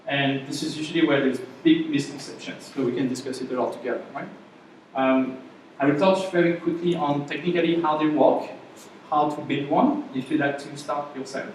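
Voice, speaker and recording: Man, French accent, workshop instructor, casual chatty delivery, slightly high voice, very echoey room, distant mic. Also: fairly even intonation, moderate pace, a little hiss or hum. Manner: casual, chatty, checks in with audience. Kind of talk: live class presentation. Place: room with audience.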